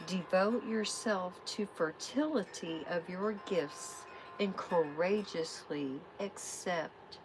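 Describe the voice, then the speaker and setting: A woman hosting a live tarot reading, giving spiritual guidance in a soft, soothing way. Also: on the low side, noisy background. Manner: soft, unhurried, soothing affirmations.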